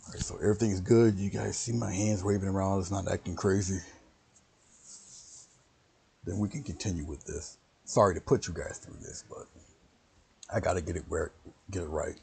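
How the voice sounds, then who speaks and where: A man with a very low, gravelly voice, his pitch sitting near the bottom of the range. He leads a relaxed painting stream, rambling between steps and greeting viewers one by one. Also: moderate pace, long pauses. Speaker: painting instructor. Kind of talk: casual livestream painting lesson.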